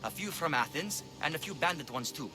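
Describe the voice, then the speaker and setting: A man's voice: on the high side, animated, a little fast, lightly acted. A man playing an overworked, ruthless pirate lieutenant in a video game, blunt and world-weary. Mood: forceful, satisfied. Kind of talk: video game cutscene acting.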